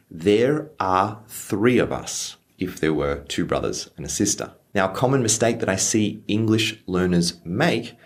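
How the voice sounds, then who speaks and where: A young man teaching English phrases to learners, slowing down on example lines. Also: low-pitched. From Australia. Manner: lively, stresses example phrases slowly. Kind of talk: clear teaching explanation for learners.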